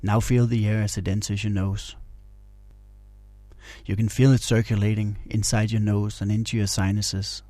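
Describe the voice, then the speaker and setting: A man guiding a meditation in a calm, soothing, instructional way. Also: lowish voice, moderate pace, no room sound. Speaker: meditation guide. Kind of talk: guided meditation narration.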